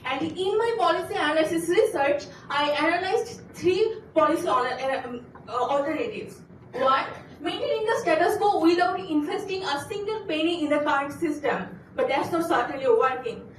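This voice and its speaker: A woman from Bangladesh, a graduate student giving an earnest competition pitch, stumbling and restarting now and then. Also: roomy sound, far from the mic, slight background noise, low-quality recording. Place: auditorium.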